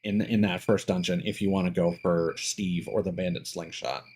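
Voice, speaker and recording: A man giving casual live gameplay commentary, explaining details in a halting way and thinking aloud with asides. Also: low-pitched, steady tone, a little mellow, slightly rough. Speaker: game streamer.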